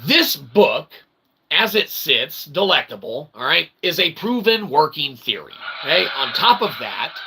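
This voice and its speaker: Bright, forceful man on an emphatic rant. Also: fairly high pitch, sing-song, measured pace, a little hiss or hum. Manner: emphatic, verbose mock-academic phrasing mixed with slang; punctuates statements with "okay?" and "all right". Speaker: channel host, self-published author. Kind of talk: opinionated rant to viewers.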